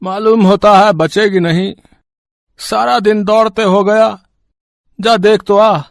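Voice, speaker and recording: Slow, high-voiced old village father acted by a man. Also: steady tone, gravelly, no room sound, studio quality, exaggerated voice. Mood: worried. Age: older. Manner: drawn-out, plaintive, rustic speech. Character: wheedling, callous old village father, poor labourer. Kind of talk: acted Hindi audio story dialogue.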